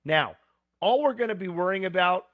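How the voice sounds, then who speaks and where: A man teaching, talking step by step through homework problems with plain asides, his voice going hoarse. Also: high-pitched, expressive, normal speed, fairly bright tone, no room sound. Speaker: instructor. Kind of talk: screen-recorded homework walkthrough.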